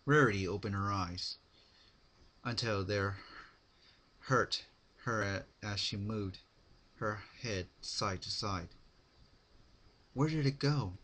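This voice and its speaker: A man giving a dramatic story narration, with deliberate, halting phrasing. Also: fairly low pitch, sharp tone.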